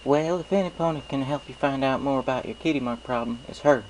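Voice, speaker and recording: A man's voice: mid-range voice, quick pace, slight background noise, voice pushed up, lightly acted, poor audio. A man voicing a friendly, honest young female country pony with a folksy drawl, in a storybook reading. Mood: encouraging. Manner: folksy drawl with dropped g's.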